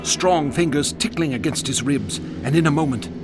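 A man narrating a horror radio drama in measured, storytelling tones that drop to a hush at eerie moments. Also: a little high, over loud music.

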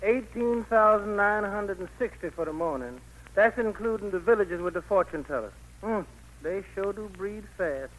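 An actor playing a loyal, eager heavenly aide in an old radio play, folksy and deferential. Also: male voice, high-pitched, normal speed, fairly bright tone, poor audio. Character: angel Gabriel, eager, loyal, trigger-happy heavenly aide. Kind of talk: 1940s-50s radio play acting. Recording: vintage radio broadcast recording.

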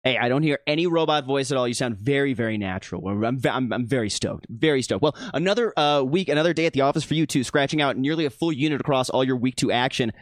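Male podcast host, casual and slangy, animated delivery, dry close sound. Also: medium pitch, fast talker, few pauses, no echo. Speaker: host of a sports betting show. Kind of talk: casual panel chat, introducing co-hosts.

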